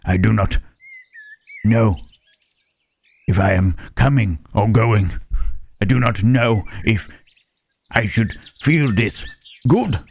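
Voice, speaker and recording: A man voicing a gentle, self-loathing Frankenstein's monster in an ASMR roleplay, speaking in halting, formal phrases with a husky, rough voice. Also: on the low side, heavily acted. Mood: pained, confused. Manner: halting, formal phrasing with searching tag questions. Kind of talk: slow ASMR roleplay monologue.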